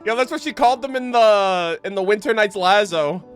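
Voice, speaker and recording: A man's voice: high-pitched, brisk. A young man giving casual, slangy, sweary gaming reaction commentary as a streamer on a close mic. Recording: game music playing behind the voice.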